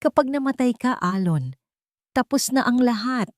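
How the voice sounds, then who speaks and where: A woman's voice: fairly high pitch, animated, normal speed, no echo, clean studio sound, pitched above the performer's own voice, close to an everyday voice. A woman as a desperate, menacing male villain. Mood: taunting, bitter. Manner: menacing, taunting. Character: desperate, vengeful male villain holding a gun.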